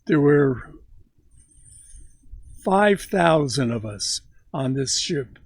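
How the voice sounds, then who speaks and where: A man in his nineties telling stories from his youth to his grandson, slow and halting, often repeating words as he begins a sentence. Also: fairly high pitch, animated, vocal fry, dry recording. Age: 90s. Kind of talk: casual storytelling interview.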